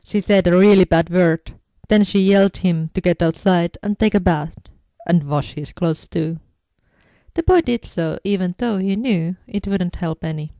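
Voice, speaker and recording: A woman narrates a scripted story. Her voice sits a little low and has a dark, mellow tone. The sound is dry and close, with no room around it. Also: measured pace, no room sound. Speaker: fan fiction audiobook narrator.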